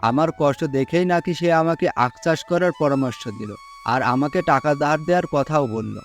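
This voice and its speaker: Humble, higher-pitched poor farmer acted by a man. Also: brisk, lightly acted. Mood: pondering, hopeful. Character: poor, gullible, humble male farmer, trusting and hesitant. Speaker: cartoon voice actor. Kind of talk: Bengali cartoon folk-tale acting. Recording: music under the voice.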